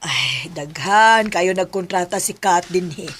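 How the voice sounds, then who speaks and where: A woman's voice: on the low side, unhurried, a bit bright. A woman with a Filipino Bisaya accent acting a gossipy, knowing acquaintance in a radio drama. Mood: offhand, knowing.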